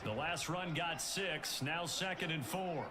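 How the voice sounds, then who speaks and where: A man with an American accent playing a polished, excitable TV football play-by-play announcer in a video game. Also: a little high, measured pace, very noisy, lightly acted. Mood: focused, steady. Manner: polished broadcast delivery, rising on big plays. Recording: loud music behind.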